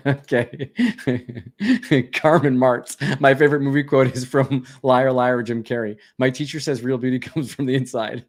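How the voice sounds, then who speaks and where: A man in a relaxed livestream, reading viewer comments aloud and answering them in an easygoing, chatty way. He laughs often, and his voice sits in an ordinary middle pitch for a man. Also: a little slow. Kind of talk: casual live Q&A chat.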